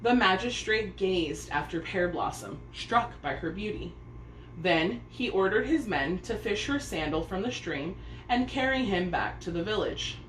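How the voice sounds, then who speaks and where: Expressive woman reading a storybook, echoey room. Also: medium pitch, unhurried. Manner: expressive storytelling, addressing young listeners. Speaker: story time reader and host. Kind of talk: children's picture book read-aloud. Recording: echoey room with faint background noise.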